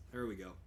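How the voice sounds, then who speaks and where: A young man livestreaming a driving game, chatting casually, thinking aloud and thanking viewers by name. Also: a little hiss or hum. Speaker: streamer. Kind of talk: casual livestream chat while gaming.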